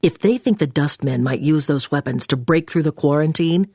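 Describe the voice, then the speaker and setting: An actress playing a brisk, commanding intelligence agent in a video game, giving mission orders in a businesslike, clipped way. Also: female voice, low voice, dark tone, breathy edge, no room sound, studio quality. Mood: businesslike, urgent. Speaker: mission handler over the phone. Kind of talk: scripted video game voice acting.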